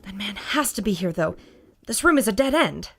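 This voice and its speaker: A woman's voice: mid-range voice, lively intonation, normal speed, creaky, slight background noise. A woman playing a bold, plucky adventurer in a radio drama, earnest and assertive. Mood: tense, determined.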